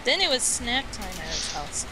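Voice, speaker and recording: A playful, halting speaker from the United States telling a rambling tall tale as a guest on an internet voice call. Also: medium pitch, very animated. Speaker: guest storyteller on a gaming voice call. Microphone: Skype call. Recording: clearly noisy internet voice call.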